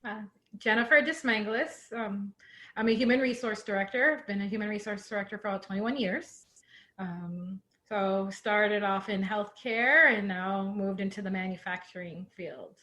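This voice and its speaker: A middle-aged woman talks in a casual, conversational style. Her voice sits at an average pitch as she takes part in a discussion show as co-host. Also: normal speed, sharp tone. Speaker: co-host, human resources director. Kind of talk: casual self-introduction.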